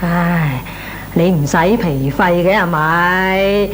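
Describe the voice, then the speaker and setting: An actress playing a well-meaning, exasperated middle-aged aunt in a Cantonese radio comedy, sighing and coaxing, with a Hong Kong Cantonese accent. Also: female voice, lowish voice, takes it slowly. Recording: noisy old radio recording.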